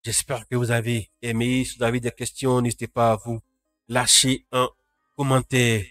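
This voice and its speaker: A man's voice: medium pitch, flat delivery, normal speed, a bit bright, dry recording, faint music behind. A man with a cold hosting a casual live tech news roundup, greeting chat viewers by name. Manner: talks to live chat viewers, frequent restarts. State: has a cold.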